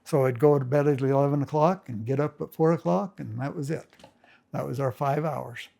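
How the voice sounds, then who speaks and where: A man's voice: medium pitch, normal speed, vocal fry, mellow. An older man casually reminiscing as a family storyteller, rambling with folksy grammar and many restarts.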